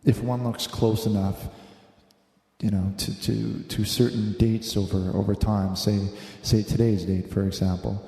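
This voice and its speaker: A man hosting a live religious call-in show, speaking in a halting, hesitant, earnest way. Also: on the low side, little pitch movement, creaky, mellow. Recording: echoey, reverberant room.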